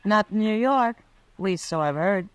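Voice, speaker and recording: A woman's voice: medium pitch, expressive, normal speed, clear, resonant tone, natural voice. An actress playing a worldly, independent frontier saloon woman, dry and knowing, in an old-time radio Western. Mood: wry, teasing. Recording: vintage radio broadcast recording.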